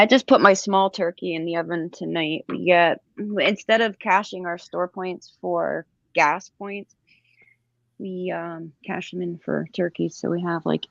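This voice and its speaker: Gravelly low-voiced woman chatting. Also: no room sound, dull audio. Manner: chatty, explains things at length. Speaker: podcast co-host. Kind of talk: casual group chat.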